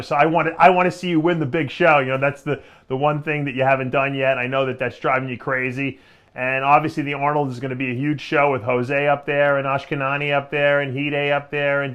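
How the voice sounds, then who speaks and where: A man's voice: a little high, fairly bright tone. A man hosting a bodybuilding interview show, chatting casually and pressing his guest with repeated blunt questions. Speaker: interview host.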